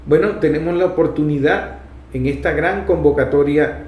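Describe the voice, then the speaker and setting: A man's voice: fairly high pitch, moderate pace, lo-fi sound. A man with a Venezuelan accent hosting a public affairs talk, addressing viewers in an emphatic, explanatory, oratorical way. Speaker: programme host and foundation vice president. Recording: roomy, echoing sound.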